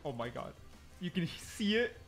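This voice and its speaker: A man gives excited gameplay commentary with a very lively, swinging pitch. He thinks out loud in exclamations and repeats himself as he goes, with faint music behind him. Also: normal speed. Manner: repetitive, exclamatory, thinking aloud. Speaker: gaming content creator testing game mechanics.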